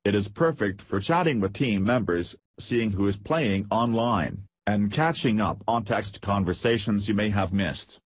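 A man narrating a scripted software tutorial in a flat, read-aloud instructional way. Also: on the low side, unhurried, few pauses, no room sound.

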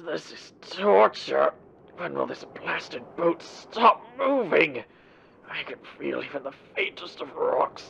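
A man's voice: fairly high pitch, gravelly, a bit bright, slight background noise, full character voice. An actor playing a proud, theatrical male demon with formal, elaborate diction and an old radio filter in a comic dub. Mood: miserable, irritated. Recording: muffled band-limited sound, music behind. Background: music.

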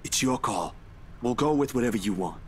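A mild, folksy young man , acted by a man, in a visual novel. He is easygoing and supportive, mid-pitched, with faint noise behind him. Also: expressive, a little fast, lightly acted. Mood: gently firm.

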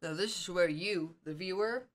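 A man's voice: sits high, moderate pace, music in the background. A young man giving casual reaction commentary as the host of a video, recorded in a room at home. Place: small home room, indoors.

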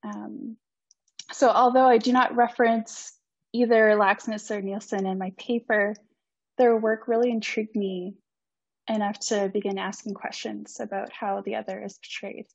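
A woman's voice: mid-range voice, flat delivery, normal speed, gravelly, breathy edge, no room sound. A young woman in her twenties giving an academic conference talk over a video call, polite and earnest with frequent ums. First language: not Icelandic. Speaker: student presenter. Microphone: computer mic on video call. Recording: dry, slightly dull video-call audio.

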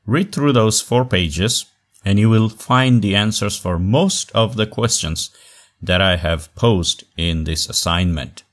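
A man with a very low voice teaches a recorded online class. He speaks in short, measured phrases and stresses key words with pauses. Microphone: voice-over on slides.

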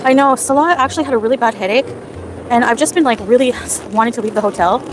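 A woman's voice: on the high side, fast talker, noisy background. A woman in her thirties livestreaming on her phone at an outdoor night market, chatting casually and reading out viewers' names between bites. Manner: chatty, reads chat names aloud while eating. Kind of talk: casual live stream chat. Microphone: phone at arm's length. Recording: outdoor phone stream with loud background music.

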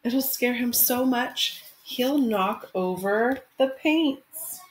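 A woman's voice: fairly high pitch, lively intonation, very slow, rough recording. A woman in her thirties, an elementary school teacher speaking expressively and simply to children, as when reading a picture book. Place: small room at home. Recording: home webcam recording with faint noise.

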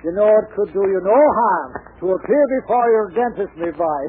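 A man's voice: sits high, expressive, normal speed. An actor playing a genial, fatherly Irish policeman with a brogue, in 1940s radio acting style. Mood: genial teasing. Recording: tinny, noisy 1940s radio recording.

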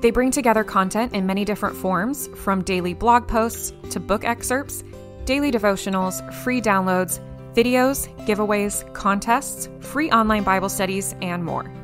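A woman's voice: mid-range voice, normal speed. A woman reading scripted narration as a podcast host. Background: music.

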